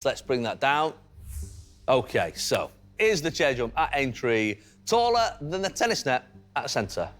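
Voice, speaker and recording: A man's voice: mid-range voice, big pitch swings, a little slow, a bit bright. A man with a Manchester accent hosting a TV quiz show, chirpy and encouraging, building suspense over each answer. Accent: Manchester English. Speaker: game show host. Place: TV studio.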